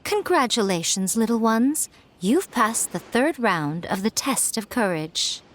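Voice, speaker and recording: A woman's voice: on the high side, expressive, a little slow, slight background noise. A woman voicing a sly, poised shrine lady in a video game, teasing and faintly condescending. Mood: amused, teasing.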